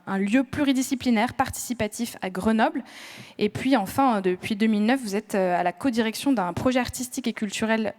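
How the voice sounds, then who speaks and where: A woman's voice: medium pitch, darkish tone. A woman moderating a round table, introducing each panelist with frequent hesitations and restarts. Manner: hesitant, many "euh" fillers and restarts. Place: conference room with audience.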